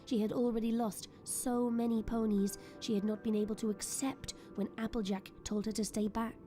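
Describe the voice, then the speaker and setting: A woman's voice: on the high side, little pitch movement, normal speed. A woman reading scripted, dramatic story narration as the narrator of an audio drama. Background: soft music.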